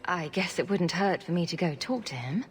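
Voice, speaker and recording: A woman playing a calm, diplomatic adventurer in a video game, speaking gently with light teasing. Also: on the low side, moderate pace, slight background noise, close to an everyday voice. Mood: light, friendly. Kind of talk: video game dialogue acting.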